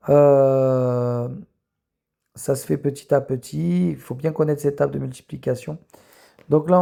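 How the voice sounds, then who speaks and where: An adult teacher correcting a math exercise step by step for students, with frequent fillers, and slowing down while writing. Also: mid-range voice, gravelly, mellow. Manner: explains step by step with many fillers; slows down to write while talking. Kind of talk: recorded lesson correction. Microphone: camera filming a written page.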